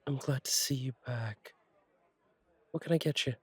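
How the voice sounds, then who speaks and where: A man playing a friendly, attentive fallen-angel bartender in an intimate roleplay audio, reassuring and chatty, with gentle wry humour and a husky voice. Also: mid-range voice, normal speed, no room sound, lightly acted. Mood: welcoming, reassuring. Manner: reassuring, chatty, wryly playful, slightly formal wording. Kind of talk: intimate one-sided roleplay audio acting.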